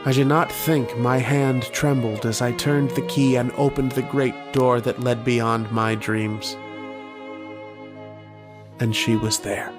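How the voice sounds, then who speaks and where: An actor playing a lovestruck, haunted young man who tells of his dreams in a slow, wistful, literary style of old-time radio drama. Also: mid-range voice, flat delivery, over music, lightly acted. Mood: dreamy, solemn. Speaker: actor and narrator in a horror radio play.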